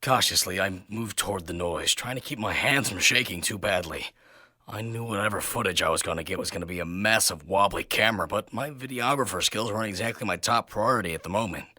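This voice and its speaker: Male narrator for first-person horror; theatrical, tense, sharp delivery with shifting intensity, very dry sound. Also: on the low side, fairly quick, no room sound. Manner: intense, theatrical, swinging from hushed to loud. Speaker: narrator and reader of a horror story.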